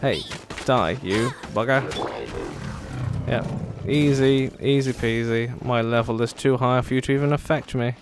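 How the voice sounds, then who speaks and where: A man's voice: mid-range voice, unhurried, noisy background. Sarcastic man commentating on a game. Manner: sarcastic, quippy asides. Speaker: gamer, let's-player. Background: game music.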